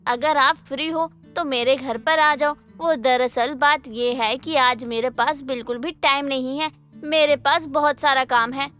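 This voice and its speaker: A young wife in an audio story drama, acted by a woman. Her voice is high and bright, with an emotive delivery. Music plays under her lines. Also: fairly even intonation, unhurried. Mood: apologetic. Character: lonely, pleading young wife, affectionate but easily irritated. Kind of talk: Hindi moral-story audio drama acting.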